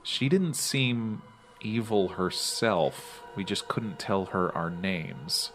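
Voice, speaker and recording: A man's voice: sits low, animated, slow pace, fairly bright tone, a little hiss or hum. A man talking casually and in character as a player in a tabletop role-playing game.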